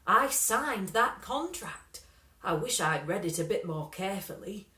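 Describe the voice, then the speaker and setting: A woman voicing a glum, earnest working father in a storybook, with a slow, plaintive delivery. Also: medium pitch, lively intonation, sharp tone, echoey room.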